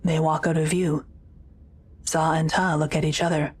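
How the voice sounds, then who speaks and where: A woman's voice: deep voice, flat delivery, normal speed, breathy edge. A woman reading scripted present-tense narration for an audio drama, as the narrator.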